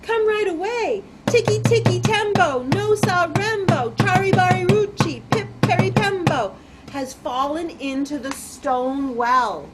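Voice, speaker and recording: A woman's voice: sits high, lively intonation, measured pace, over loud music, pitched above the performer's own voice. A woman voicing a small, polite, frantic boy in a storybook, breathless and calling out for help. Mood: shouting, desperate. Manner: breathless, shouting, reciting a long name.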